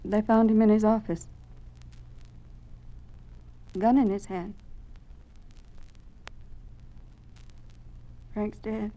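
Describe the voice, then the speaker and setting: An actress playing a distraught, shocked wife in a 1950s radio drama. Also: female voice, mid-range voice, level tone, normal speed, mellow, highs cut off, lightly acted. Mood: shocked, grieving.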